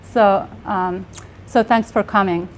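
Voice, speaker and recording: A soft-voiced woman in her forties giving a live workshop talk, earnest, with many fillers and restarts. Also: medium pitch, steady tone, slow pace, a little hiss or hum, poor audio. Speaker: workshop speaker. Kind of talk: conference talk. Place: live workshop room with audience.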